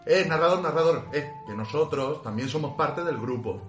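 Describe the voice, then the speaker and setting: A man playing a gruff, hearty fantasy dwarf with blustery, colloquial talk. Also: a little high, normal speed, recorded from a distance, lightly acted. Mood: impatient. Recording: echoey, off-mic, faint music.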